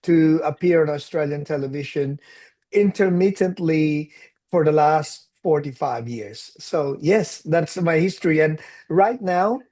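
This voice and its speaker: A man's voice: on the high side, darkish tone. Filipino-accented man in his sixties, storytelling. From Philippines. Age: 60s. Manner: reflective storytelling, mixes in Tagalog phrases. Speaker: actor, interview guest. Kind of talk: casual interview conversation.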